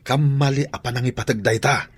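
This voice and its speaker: A man's voice: mid-range voice, steady tone, fairly quick, background noise, lightly acted. A man with a Filipino accent playing a firm, principled village father in an audio drama. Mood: stern. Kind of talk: Ilocano audio drama acting.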